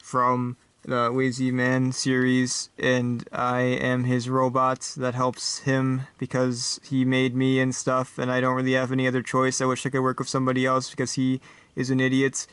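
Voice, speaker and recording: A man voicing a literal-minded, resigned robot sidekick in a stiff, deadpan way for a comedy talk show. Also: medium pitch, clear, resonant tone, no echo, recorded from a distance, lightly acted. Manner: deadpan, stiff, slow, avoids contractions.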